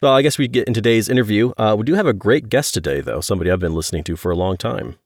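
A man's voice: on the low side, rapid-fire, dry recording. A middle-aged man hosting a podcast in a casual, chatty interview style on a close mic. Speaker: podcast host.

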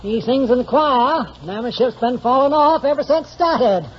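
An actor playing a dour, gossipy small-town wagon driver in an old radio drama, with a laconic rural American drawl. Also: male voice, very high-pitched, normal speed. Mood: dryly gossipy. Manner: laconic drawl, hemming before he answers. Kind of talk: 1940s radio drama acting. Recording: dull, noisy old radio recording.

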